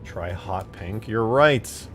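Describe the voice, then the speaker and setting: A man's voice: lowish voice, animated, takes it slowly, fairly bright tone, faint music behind. A man live streaming while he works, casually thinking aloud and muttering questions to himself. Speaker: game modding live streamer.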